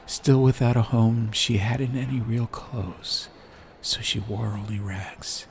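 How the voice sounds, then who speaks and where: A man reading a story as an atmospheric audiobook narrator, in measured short phrases with pauses. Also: medium pitch, fairly even intonation. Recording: clear background ambient noise. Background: ambience.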